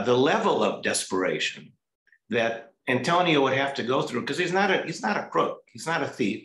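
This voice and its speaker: An older man giving thoughtful film analysis on a video call from home, deliberately searching for words. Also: mid-range voice, pushed and bright. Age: older, 60s or 70s. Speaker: discussion participant. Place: room at home, indoors. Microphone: video call, computer at some distance. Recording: roomy, echoey video-call audio.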